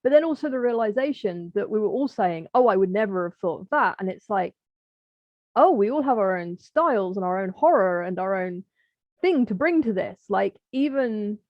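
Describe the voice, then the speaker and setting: A woman with a gravelly, creaky edge and a mid-pitched voice talks casually as a podcast guest. The sound is dry and a little dull. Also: animated, moderate pace, dry recording. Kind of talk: casual chat, reflective.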